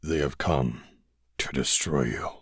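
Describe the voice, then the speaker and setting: A man gives voice to a wounded alien close to death, speaking in a slow, solemn, measured way. The voice sits very deep and stays dry, with no room around it. Each word comes out heavy and grave. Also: slightly rough, no room sound, exaggerated voice. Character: dying gentle alien, grave and slow-spoken.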